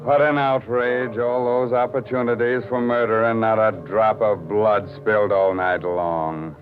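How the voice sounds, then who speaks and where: An actor playing a ghoulish, punning horror host on a 1940s radio show, theatrical and mock-sinister. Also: male voice, on the low side, fairly even intonation, unhurried, lightly acted. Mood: mock disappointment. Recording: tinny 1940s radio, organ music behind.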